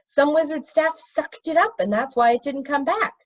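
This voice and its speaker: Woman reading as impulsive king, lively delivery. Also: sits high, moderate pace, dull audio, pitched above the performer's own voice. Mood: sudden urgency.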